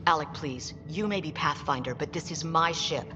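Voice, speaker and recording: A woman's voice: sits low, moderate pace, natural voice. Casting: female actor as assertive game starship captain, protective, firm, reverberant muffled sound. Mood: firm, exasperated. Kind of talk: sci-fi video game acting. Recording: muffled, reverberant, loud music behind.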